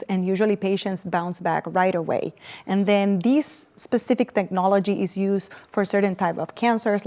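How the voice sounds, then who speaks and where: Puerto Rican woman doctor explaining calmly. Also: mid-range voice. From Puerto Rico. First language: Spanish. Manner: earnest, explains step by step. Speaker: cancer doctor. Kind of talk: TV interview, explaining.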